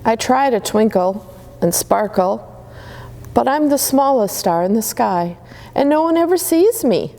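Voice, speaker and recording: Tiny female star from a storybook, plaintive, high voice, a woman doing it. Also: lively intonation, a little slow, warm tone, in a large hall. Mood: sad. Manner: wistful, plaintive.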